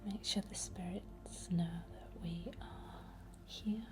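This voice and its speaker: Slow, whispering woman in soft ASMR. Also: lowish voice, steady tone. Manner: gentle, soothing, with repeated words. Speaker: ASMR host performing a healing ritual. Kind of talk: whispered ASMR guided healing ritual.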